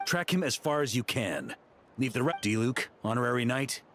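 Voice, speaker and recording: An actor playing a seasoned knight grandmaster in a video game, world-weary and shrewd, with a dry, measured delivery. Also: male voice, mid-range voice, animated, fairly bright tone, a little hiss or hum, close to an everyday voice. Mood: commanding. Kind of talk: dramatic video game voice acting.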